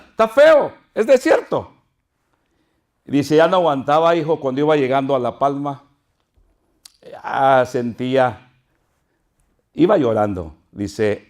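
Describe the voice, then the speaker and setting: Older male pastor, northern Mexican accent, warm folksy preaching with animated storytelling. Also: medium pitch. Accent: Mexican Spanish, northern. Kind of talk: sermon with personal storytelling.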